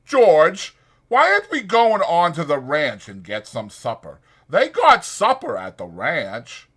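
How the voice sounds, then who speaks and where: A man voicing a big, simple-minded, meek farmhand with a slow, childish, pleading rural way of talking in an audiobook. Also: fairly high pitch, huge pitch swings, a bit bright, breathy edge, pitched above the performer's own voice, heavily acted. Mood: eager, questioning.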